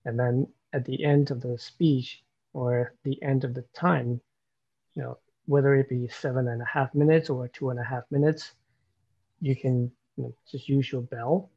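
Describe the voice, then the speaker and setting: A man's voice: mid-range voice, steady tone, normal speed, gravelly, slightly rough, no echo. A man from Taiwan, guest at an online club meeting, gives friendly feedback with plenty of "you know", over a video call. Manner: warm, encouraging, filler-heavy with you know. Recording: dull dry video call audio.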